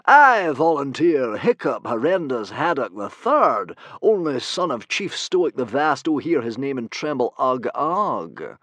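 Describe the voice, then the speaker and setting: Pompous booming hero, as a narrator puts him on, loud and raised in pitch, storybook reading. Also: male voice, very animated, unhurried, dry recording. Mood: proclaiming. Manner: booming, grandiose proclamations. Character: grand heroic adult male bodyguard, pompous.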